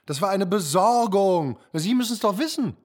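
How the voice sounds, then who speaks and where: An actor playing an evasive, philandering husband in a radio drama, blustering through hesitant excuses. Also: male voice, high voice, expressive, normal speed. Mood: evasive, flustered.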